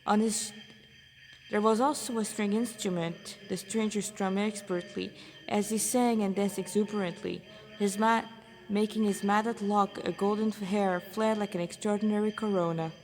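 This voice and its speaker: A woman's voice: mid-range voice, fairly even intonation, moderate pace, darkish tone, in a large hall, soft background music, low-quality recording. A woman reading a story aloud as narrator, an amateur who stumbles over phrases and repeats them. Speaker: amateur fan fiction author reading aloud.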